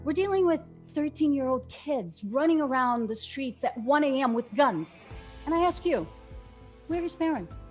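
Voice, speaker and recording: A woman's voice: sits high, expressive, normal speed, a little mellow, faint music behind. A woman playing a blunt, combative police detective in her forties in a dramatic script read. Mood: angry, defensive. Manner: combative, outspoken. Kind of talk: screenplay table read, dramatic acting.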